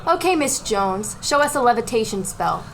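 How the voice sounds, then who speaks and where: A woman's voice: on the high side, big pitch swings, normal speed. A woman playing a strict, imperious magic-school professor in an amateur radio play, curt and commanding.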